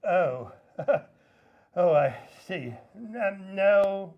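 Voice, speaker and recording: Guy doing gruff old professor from a radio play, weary, dramatic, talks really slow. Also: sits high, expressive, lo-fi sound, pitched above the performer's own voice, heavily acted. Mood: weary, resigned. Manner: theatrical, exclaiming, slow and deliberate. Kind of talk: golden age radio drama acting.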